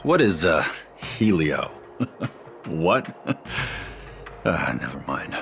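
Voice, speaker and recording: An actor voicing a skeptical adult man in a game cutscene, who explains legends in an animated way. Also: rumbling low, very slow, full character voice. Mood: explaining. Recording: game audio with clear music behind.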